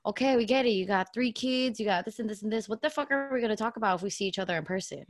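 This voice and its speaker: A woman's voice: medium pitch, rapid-fire, no echo, clean studio sound. A woman in her twenties chatting and telling stories casually, blunt and slangy, swearing freely. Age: 20s. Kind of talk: casual chat, storytelling.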